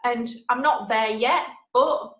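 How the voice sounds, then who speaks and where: A woman's voice: fairly high pitch, slow, fairly bright tone. Chatty Yorkshire woman in an echoey room. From Yorkshire, England. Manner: chatty, direct, motivational. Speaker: webinar host. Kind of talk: motivational webinar talk.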